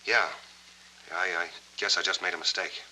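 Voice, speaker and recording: A low, sharply edged male voice as a troubled war veteran in a 1940s film noir. He talks tersely and guardedly over a faintly noisy old soundtrack. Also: steady tone, normal speed, pushed and bright. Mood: earnest, uneasy.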